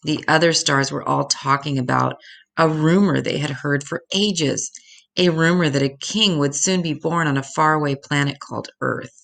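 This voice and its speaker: A woman narrates a picture book for children in a low, gravelly voice. Her delivery is expressive storybook reading, with creak at the edges of the voice. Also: moderate pace.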